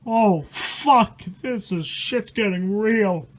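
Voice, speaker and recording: A man's voice: high voice, takes it slowly. A man reading a rhyming horror story aloud in a dramatic, irreverent way, stumbling over words and swearing in casual asides. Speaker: amateur storyteller and host.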